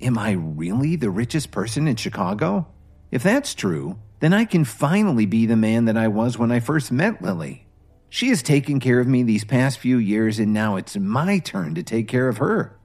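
An earnest, determined young husband in an audio story, voiced by a man close to his own voice. His speech rises and falls with lively pitch. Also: normal speed.

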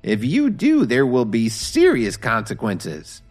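A man voicing a stern elderly grandmother who scolds her grandson, high and slow. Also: wildly swooping pitch, darkish tone, faint music behind, exaggerated voice.

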